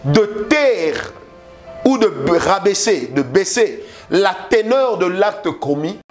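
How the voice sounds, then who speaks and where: High-voiced Congolese preacher, emphatic. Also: male voice. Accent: Congolese French. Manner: emphatic preaching with repeated phrases and rhetorical questions. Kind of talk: sermon.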